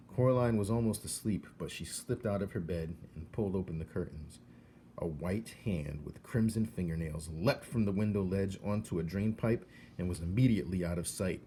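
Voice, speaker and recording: A man reading a children's novel aloud as narrator, in an expressive storytelling style. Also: sits low, moderate pace, slight background noise. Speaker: storybook reader.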